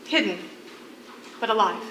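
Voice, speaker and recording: A woman acting in a stage play as a defiant condemned prisoner and devoted mother, speaking in earnest, deliberate phrases. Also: medium pitch, animated, sharp tone. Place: theatre stage, live performance. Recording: echoey stage recording with faint noise.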